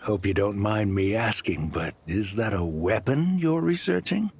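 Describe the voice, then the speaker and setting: An actor playing a sly, defiant desert mercenary leader in a game, with a goading, persuasive way of talking. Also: male voice, lowish voice, expressive, measured pace, gravelly, darkish tone, slight background noise. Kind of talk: scripted game dialogue.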